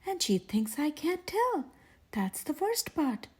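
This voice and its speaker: Small boy, played by a woman: suspicious, complaining, high, very animated storybook delivery. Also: slow pace, heavily acted. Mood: secretive. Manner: complaining, confiding. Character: suspicious, dramatic preschool boy, fussy eater. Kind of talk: children's storybook read-aloud.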